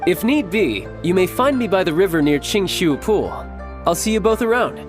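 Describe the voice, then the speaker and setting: An actor playing a cocky, playful young warrior in a video game, smooth and teasing with theatrically formal speech. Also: male voice, high voice, expressive, normal speed, over music. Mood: playful, easygoing. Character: cocky, playful young male warrior posing as toy salesman.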